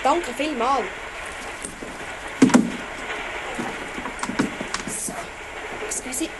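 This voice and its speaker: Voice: high voice, slow, background noise. An actor playing a polite, eager village schoolboy of about twelve in a Swiss German children's radio play. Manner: very polite, chatty, earnest.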